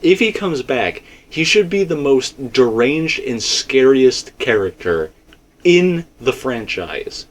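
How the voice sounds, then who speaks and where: A man's voice: mid-range voice, very animated, takes it slowly. A man talking casually and speculatively as a host, emphatic and rambling, often repeating himself. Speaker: video game theorist and host.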